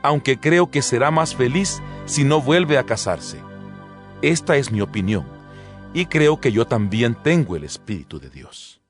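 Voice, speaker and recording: Actor como apóstol serio, muy expresivo. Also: male voice, medium pitch, lively intonation, natural voice. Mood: earnest, instructive. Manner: measured, emphatic, didactic. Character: earnest male apostle addressing his congregation. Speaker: narrator of an audio Bible. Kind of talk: dramatized scripture reading. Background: background music.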